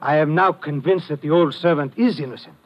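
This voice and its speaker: A man's voice: on the high side, fairly quick, close to an everyday voice. A man playing a stern, formal police lieutenant from abroad in a 1950s radio drama, speaking precisely and without contractions. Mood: grave. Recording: dull old radio broadcast.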